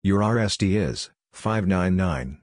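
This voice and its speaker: A man reading short scripted practice phrases as a narrator, in a deliberate, clipped, phrase-by-phrase way. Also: sits low, animated, a little mellow, a little hiss or hum.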